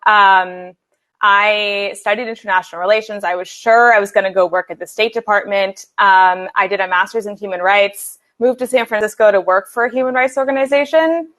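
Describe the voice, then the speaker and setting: A woman's voice: mid-range voice, steady tone, normal speed, fairly bright tone. A woman in her thirties from Philadelphia with an American accent, speaking on a video-call panel in a reflective way, with many fillers and restarts. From United States. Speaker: panelist, venture investor. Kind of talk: casual panel discussion.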